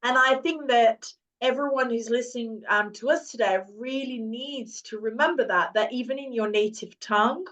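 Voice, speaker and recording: A woman's voice: on the high side, moderate pace, few pauses, a bit bright. Encouraging woman host, distant from mic. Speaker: language course host. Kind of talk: teaching talk to learners.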